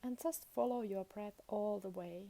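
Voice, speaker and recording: A woman leading a guided meditation as a teacher, speaking soothingly in short phrases with long pauses between them. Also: medium pitch, vocal fry, dark tone.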